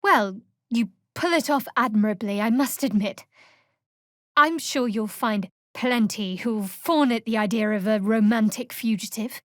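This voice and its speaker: An actress playing a guarded, sardonic young female cleric of a dark goddess in a video game, speaking in a dry, measured, wry way. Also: on the high side, no echo, lightly acted. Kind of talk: video game character dialogue.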